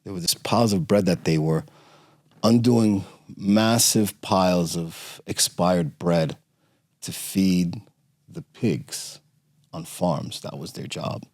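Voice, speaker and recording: A middle-aged man from Romania, a podcast guest telling his family story in a halting way with many fillers and restarts. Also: low-pitched, a little mellow, no room sound, clean studio sound. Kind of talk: conversational interview storytelling.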